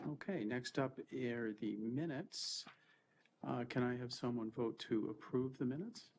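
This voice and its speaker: A man's voice: medium pitch, measured pace, a little mellow, a little hiss or hum. A man with a cold, sounding a little stuffy, chairing a formal committee meeting and moving through agenda items. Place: board meeting room.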